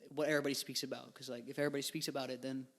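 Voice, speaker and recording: A young man in his twenties sits in as a podcast guest and talks loosely, stringing thoughts together with fillers and slang. His voice sits at an ordinary middle pitch. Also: level tone, racing. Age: 20s. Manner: rambling, filler-heavy, laid-back slang. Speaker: guest musician. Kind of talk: casual podcast interview.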